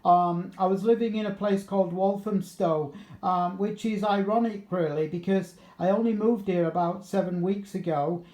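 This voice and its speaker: A middle-aged man with a fairly high, mellow voice chats casually over amateur radio. He rambles along in a chatty way and drops in radio jargon. The room around him sounds noticeably echoey. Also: steady tone, moderate pace, hardly any pauses. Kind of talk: casual radio contact chat.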